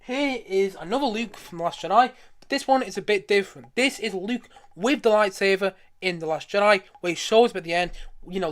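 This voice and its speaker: Casting: male live streamer, high-pitched voice, quick delivery, enthusiastic and rambling, casual. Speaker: streamer showing a collection.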